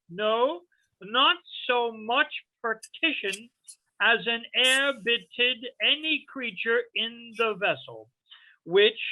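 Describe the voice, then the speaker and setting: An actor reading a stern but tender sorcerer father in measured, declamatory Shakespearean verse. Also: mid-range voice, bright, forceful tone. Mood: solemn, commanding. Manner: measured, declamatory, deliberate phrasing. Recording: thin phone-like line.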